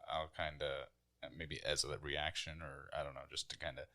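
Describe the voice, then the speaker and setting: Guy at a tabletop game saying his moves, really low gravelly voice, casual. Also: level tone, moderate pace, sharp tone. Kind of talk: casual roleplay chat, describing his character's actions.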